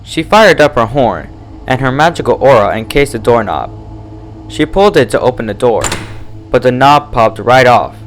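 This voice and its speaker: A man's voice: medium pitch, moderate pace, slight background noise, rough recording. A man narrating a story in a dramatic, scripted reading style. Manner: animated, dramatic.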